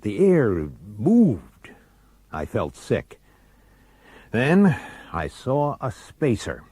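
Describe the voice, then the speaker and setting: An actor playing a hard-bitten, resentful police detective who narrates in a gruff, sardonic, irritable way in a radio drama. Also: male voice, mid-range voice, huge pitch swings, very slow, warm tone, no echo, heavily acted. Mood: uneasy, bitter. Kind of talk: radio drama acting with first-person noir narration.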